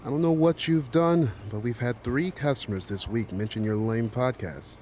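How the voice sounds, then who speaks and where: An actor playing a protective café manager who talks bluntly and grumbles. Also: male voice, medium pitch, normal speed, a little mellow, slight background noise, close to an everyday voice. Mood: grumbling. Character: gruff male coffee shop boss, protective.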